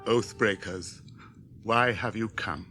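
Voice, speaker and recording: A low, unhurried British warrior king, grave and commanding. He declaims in stately radio-drama fashion, with music underneath. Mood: stern command. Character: grave, commanding male warrior king leading his company. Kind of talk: BBC radio drama acting.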